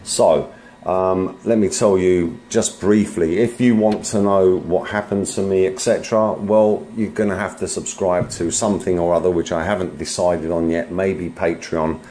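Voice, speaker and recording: A man making an online video, rambling hesitantly to his viewers in a halting monologue. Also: low-pitched, steady tone, warm tone. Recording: noisy amateur video playback.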